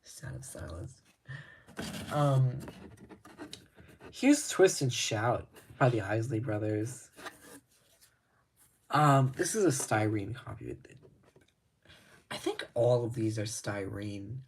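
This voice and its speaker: A man talking slowly and casually while showing his records, with a slightly raspy voice. He rambles, stops to restart his thoughts, and adds lots of ums. There is clear background noise with clicks behind him. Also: fairly low pitch, sing-song. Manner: laid-back, rambling, many ums and restarts. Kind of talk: casual talk to camera.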